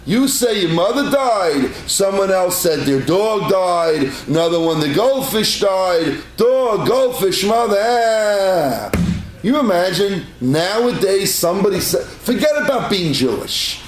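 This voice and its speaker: A man's voice: high voice, big pitch swings, slow pace, roomy sound, a little hiss or hum. A man, a rabbi, giving an informal class to a congregation in a synagogue, telling stories in a chatty way and repeating words for emphasis. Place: synagogue room.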